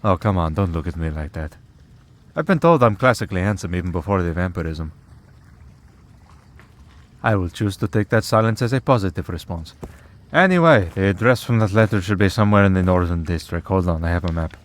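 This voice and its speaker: A man's voice: low voice, animated, fairly quick. A man playing a wry, teasing vampire in a priest's collar for an ASMR roleplay, in a sardonic, conversational way. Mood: playful.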